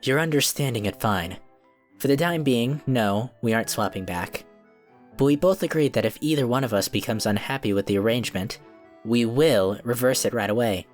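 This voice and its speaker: Man voicing a calm, measured, diplomatic cookie wizard. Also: mid-range voice, soft background music, natural voice. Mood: reassuring. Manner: measured, earnest, formal. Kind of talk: scripted fan audio drama acting.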